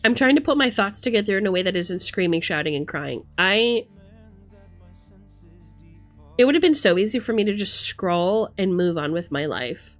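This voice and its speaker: A woman's voice: medium pitch, very animated, brisk. Opinionated woman livestreamer over background music. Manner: opinionated, emphatic, sweary. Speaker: livestream host. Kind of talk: casual livestream rant, chatting with commenters.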